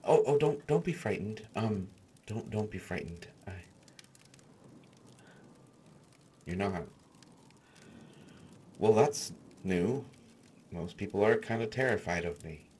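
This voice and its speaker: Man playing a shy, stammering, low-voiced fantasy artificer. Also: animated, unhurried, long pauses, slight background noise. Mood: gentle, reassuring. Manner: stammering, hesitant, soft-spoken reassurance. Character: shy, gentle, awkward human male artificer. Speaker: audio roleplay voice actor. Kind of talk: intimate dark fantasy audio roleplay.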